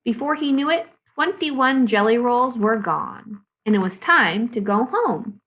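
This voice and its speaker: A woman teacher reading a storybook aloud to second graders in an expressive, deliberate way, with dramatic pauses. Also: fairly high pitch. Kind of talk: storybook read-aloud for young children.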